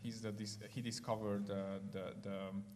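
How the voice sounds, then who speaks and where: A man's voice: on the low side, level tone, normal speed, a little mellow, long reverb tail, a little hiss or hum. A man with a Hungarian accent, raised in Ukraine, telling his story at church, hesitant with many fillers. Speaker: guest speaker. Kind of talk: church testimony talk. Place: church hall.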